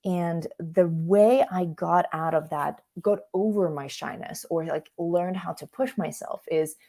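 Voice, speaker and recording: A woman's voice: fairly low pitch, unhurried, warm tone. A woman from Russia, a native Russian speaker, presenting an online coaching workshop in a conversational, informal way, often interrupting herself and checking in with listeners. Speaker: career coach, workshop host.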